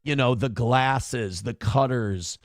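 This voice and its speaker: A man's voice: mid-range voice, slow pace, no room sound, clean studio sound. A man in his late thirties co-hosting a casual morning talk show. He chats loosely, swears freely and corrects himself mid-sentence. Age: 30s. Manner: animated, swearing, self-correcting. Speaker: co-host. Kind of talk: casual chat.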